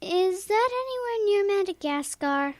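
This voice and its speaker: A woman's voice: very high-pitched, expressive, a little slow, no room sound, studio quality. A woman playing a young schoolgirl in a children's radio drama, helpful, frank and plain-spoken in a childlike way. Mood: casual, evasive.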